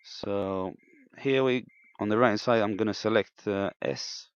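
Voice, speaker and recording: A man giving an unscripted, step-by-step technical demonstration in a hesitant, halting way, in a slightly muffled room recording. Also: fairly low pitch, clear, resonant tone. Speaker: amateur radio operator demonstrating equipment.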